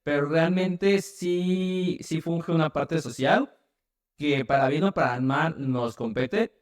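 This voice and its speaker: A man's voice: a little high, takes it slowly. A man in his twenties from Mexico City with a Mexican accent, answering casually as an interview guest. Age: 20s. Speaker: interview guest, medical student and paramedic.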